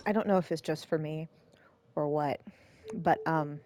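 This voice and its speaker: A woman's voice: sits low, normal speed, a little mellow, a little hiss or hum. A woman streamer giving casual let's play commentary in a rambling, hesitant, self-deprecating way. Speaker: game streamer, host.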